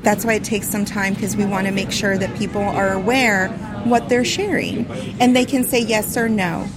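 A woman's voice: mid-range voice, steady tone, normal speed. Earnest woman over a music bed. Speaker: outreach manager. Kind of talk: interview clip.

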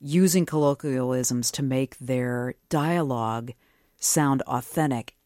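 Guy hosting a radio talk show, medium pitch, super dry room, curious and chatty. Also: slow, a little mellow, no room sound. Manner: curious, reading listener comments. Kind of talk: conversational radio interview.

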